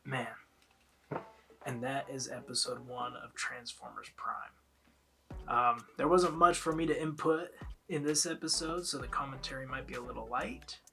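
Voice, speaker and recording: A man's voice: mid-range voice, a little slow, creaky, sharp tone. A man in his twenties, congested from a head cold, chatting casually to camera in a rambling way as a reaction video host.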